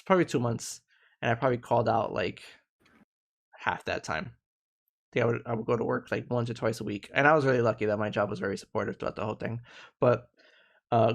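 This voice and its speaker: 30s guy hosting a chill panel, gravelly voice, earnest, keeps restarting his sentences. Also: mid-range voice. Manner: earnest, reflective, many restarts. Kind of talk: casual live panel discussion.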